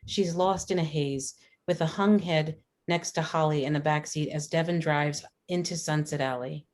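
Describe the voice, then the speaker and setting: A woman's voice: low voice, fairly even intonation, moderate pace, a little mellow. A woman reading scripted screenplay stage directions as the narrator of a dramatic table read.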